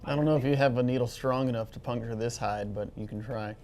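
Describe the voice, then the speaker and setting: A woman's voice: very deep voice, fast talker, darkish tone. A woman playing a tabletop role-playing game, moving between speaking in character and bantering. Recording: faint ambient noise behind the voice. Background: faint ambient noise and ambience.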